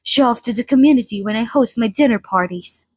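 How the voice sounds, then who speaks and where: A woman playing a polite, chatty old vampire being interviewed in an ASMR roleplay, speaking in a slightly formal, gently wry way. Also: a little high, fairly quick, slightly rough, dry recording. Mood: friendly, courteous. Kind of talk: ASMR roleplay, in-character monologue to listener.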